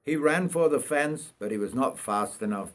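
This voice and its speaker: An older man from North Yorkshire reads his own memoir aloud in a measured, reflective storytelling manner. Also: mid-range voice, lively intonation. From North Yorkshire, England. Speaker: writer reading own memoir. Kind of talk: scripted autobiographical storytelling.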